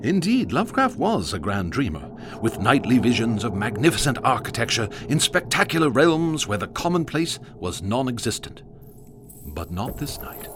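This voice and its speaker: An actor playing the grandiloquent narrator of a gothic radio drama, telling the scripted tale in a dramatic manner. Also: male voice, mid-range voice, sing-song, moderate pace, background noise, close to an everyday voice. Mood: wry storytelling. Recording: music behind narration.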